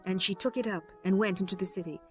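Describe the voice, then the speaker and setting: A woman's voice: medium pitch, quick pace, a little mellow, soft background music. A woman narrator reading a scripted scripture audiobook.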